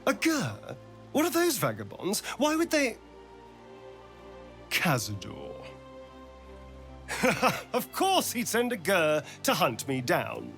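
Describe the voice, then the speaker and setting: Sardonic vampire spawn in a video game, super dramatic, voice swoops all over, bit of music behind. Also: male voice, high voice, huge pitch swings, slow, pauses often, fairly bright tone, exaggerated voice. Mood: startled, bitter. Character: theatrical, haunted male vampire spawn, two centuries old. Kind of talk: video game character acting.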